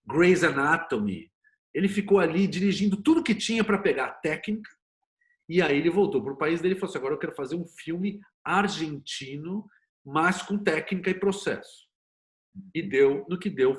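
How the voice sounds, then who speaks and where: A Brazilian man speaking as an interview guest in a conversational way, telling anecdotes and explaining with examples and rhetorical questions. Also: fairly high pitch. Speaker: interview guest, series producer and screenwriter.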